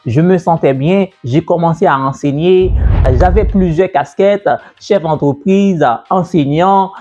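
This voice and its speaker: A man telling the story of his own business journey in a casual way, repeating himself and pausing with fillers. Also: sits high, dark tone. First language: French. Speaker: entrepreneur and business coach. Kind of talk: personal story told to camera. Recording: dull, lo-fi sound with faint music.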